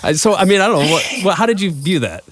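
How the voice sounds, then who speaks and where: A man's voice: high voice, sing-song, quick pace, fairly bright tone. A man hosting a podcast, asking long, loosely worded questions and giving frequent short acknowledgments. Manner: rambling questions with many backchannels. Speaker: podcast host. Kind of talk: casual interview conversation.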